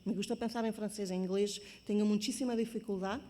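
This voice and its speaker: Mujer de Portugal, acento portugués, español aprendido; tono sincero y enfático en un club de lectura, sala reverberante. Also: female voice, medium pitch, steady tone, brisk, warm tone. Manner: earnest, emphatic, searching for Spanish words. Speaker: book club member. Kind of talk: book club discussion.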